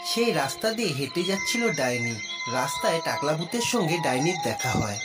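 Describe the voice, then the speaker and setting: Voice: mid-range voice, very animated, fairly quick. A narrator telling a scripted children's horror story. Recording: loud music behind.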